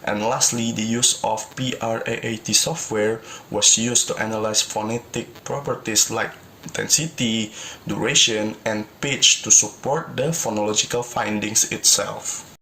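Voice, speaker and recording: A young man presents to his class, reading from notes and stringing points together with "and also". His voice is low-set but bright and forceful. A faint hiss sits under the recording. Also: steady tone, a little slow, low-quality recording. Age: young adult. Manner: reads from notes, repeats "and also". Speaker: student presenter.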